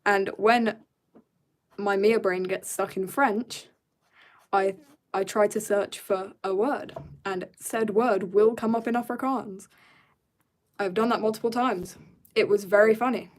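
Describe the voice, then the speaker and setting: A teenage girl from South Africa chatting casually to her viewers while she draws, rambling and joking at herself, sometimes dropping to quiet mutters. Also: mid-range voice, steady tone, measured pace, long pauses. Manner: chatty, rambling, self-mocking asides. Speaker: art streamer. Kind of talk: casual chat while drawing.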